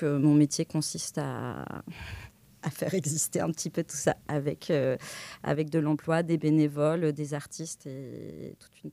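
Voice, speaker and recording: A woman with a deep voice answers informally as a guest in an interview. She speaks haltingly, often searching for the next word, in a very dry recording. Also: dark tone, no room sound. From western France. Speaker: interview guest, director of a digital arts association.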